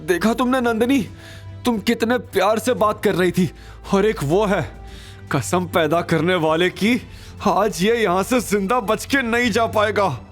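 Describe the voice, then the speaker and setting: A man's voice: high voice, unhurried, faint music behind, pitched above the performer's own voice. A man voicing a whiny, vengeful bully who blusters in an aggrieved way after being humiliated, in an audio drama.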